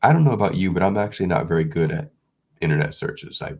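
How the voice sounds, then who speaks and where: Deep gravelly congested man. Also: expressive, fast talker. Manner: rambling, restarts, soft chuckles. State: congested, sniffling from illness. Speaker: video creator. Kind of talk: casual vlog chat.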